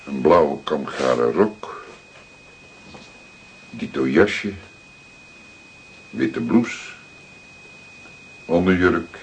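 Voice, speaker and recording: A low-voiced middle-aged detective in a crime radio drama. He is calm, courteous and deliberate in how he talks. The recording carries clear noise and clicks. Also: exaggerated voice. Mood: calm, intent.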